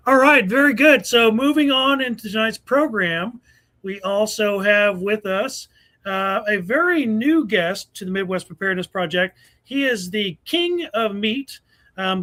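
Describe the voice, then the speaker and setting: A man's voice: high voice, lively intonation, unhurried, bright, forceful tone. A man hosting a live show, chatting casually and effusively as he introduces guests. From United States. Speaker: host of a preparedness show.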